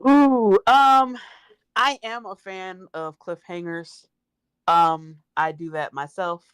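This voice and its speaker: A woman's voice: lowish voice, steady tone, slow, smooth, dry recording. Woman guest thinking aloud, dry sound. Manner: hesitant, thinking aloud, self-quoting. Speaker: guest, writer and fan. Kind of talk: casual chat.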